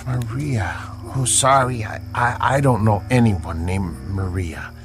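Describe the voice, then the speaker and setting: A man's voice: on the low side, unhurried, faint music behind. An actor playing a timid, apologetic, lonely man who stammers hesitantly, in an audio drama. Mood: unsure, nervous.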